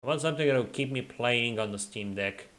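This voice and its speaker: A man's voice: mid-range voice, brisk. Man with throaty R sounds. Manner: relaxed, reads and answers chat while playing. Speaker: streamer playing and chatting. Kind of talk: casual livestream chat.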